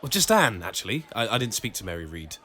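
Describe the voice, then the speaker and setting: Animated, flustered gentleman newspaperman. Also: lowish voice, fast. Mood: uneasy. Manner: hesitant, polite, protesting. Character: earnest, flustered male newspaperman, apologetic and evasive. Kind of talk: period audio drama acting.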